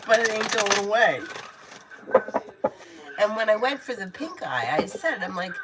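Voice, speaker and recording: A woman's voice: medium pitch, animated, a little slow, very noisy. A woman giving tarot readings on a casual livestream, chatting candidly, swearing freely and calling viewers by name. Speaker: tarot reader answering viewer questions.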